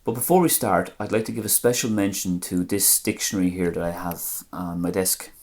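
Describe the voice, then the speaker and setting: An Irish man with a very deep voice gives a language lesson to camera. He explains phrases patiently and pronounces each one slowly and carefully. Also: darkish tone. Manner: slow, careful phrase-by-phrase pronunciation.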